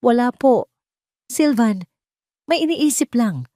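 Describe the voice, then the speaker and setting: A woman acts out a timid young Filipina in an audio drama. She is humble, polite and hesitant, her voice lifted higher, in a dry recording. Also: big pitch swings, slow pace, mellow, no room sound. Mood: evasive. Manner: hesitant, deferential.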